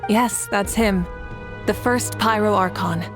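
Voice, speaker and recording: A woman's voice: medium pitch, slow, natural voice. A woman voicing a confident, kindly warrior leader in a video game, speaking in an assured and eloquent way. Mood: reassuring. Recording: studio voice over background music.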